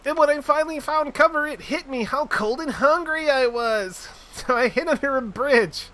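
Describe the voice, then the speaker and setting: A man voicing a small, frightened young man who is jittery and whiny, reading his lines in a high, anxious, pleading voice. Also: normal speed, sharp tone.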